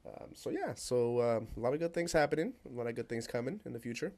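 A man hosting a casual podcast. He rambles with many fillers, then trades loud jokes and drops Spanish into his talk. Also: mid-range voice, animated, fast talker. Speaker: podcast host.

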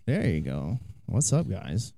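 A man's voice: low-pitched, wildly swooping pitch, takes it slowly, creaky, warm tone, no echo. A man co-hosting a casual podcast from a small room, chatting easily and laughing often. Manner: laughs often, easygoing banter. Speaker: podcast co-host. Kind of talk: casual chat. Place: hot small room indoors.

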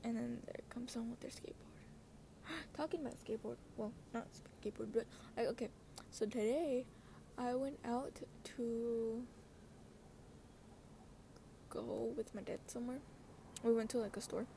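A young woman with a fairly high voice hosts a loose personal podcast. She rambles in casual slang and keeps cutting across her own sentences. There is light outdoor noise from wind and cars behind her. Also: fairly even intonation, moderate pace, creaky, long pauses, warm tone. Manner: scattered, slangy, self-interrupting. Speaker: podcast host. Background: wind, cars, ambient noise.

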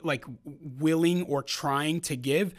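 Earnest, higher-voiced man. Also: slow. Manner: earnest, reflective, many fillers and restarts. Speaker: podcast co-host. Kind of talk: casual podcast conversation.

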